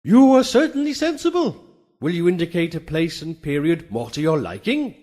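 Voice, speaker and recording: A man voicing a proud, fastidious winged horse in a storybook reading, speaking in lofty, formal, indignant diction. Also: on the high side, sing-song, a little mellow. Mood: courteous.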